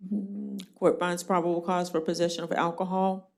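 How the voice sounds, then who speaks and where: A woman judge running a jail court hearing, brisk and plainspoken with a dry joking streak. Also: on the low side, level tone, vocal fry.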